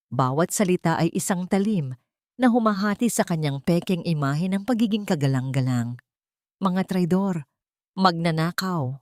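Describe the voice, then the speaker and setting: A woman reading a dramatic story as narrator, in a measured, solemn way. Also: low voice, a little mellow, no echo, studio quality.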